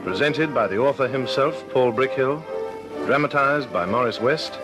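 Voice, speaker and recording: Man, grave radio drama announcer, formal measured delivery, scripted introduction, very loud music behind, vintage broadcast sound. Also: medium pitch. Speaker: programme announcer. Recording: old radio recording under loud music.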